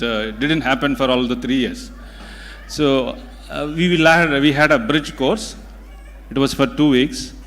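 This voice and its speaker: A man with a Tamil Indian accent, a native Tamil speaker, gives a deliberate motivational speech, repeating phrases for emphasis. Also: mid-range voice. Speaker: guest speaker at a community event. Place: indoor event hall. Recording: hall reverb from a large room.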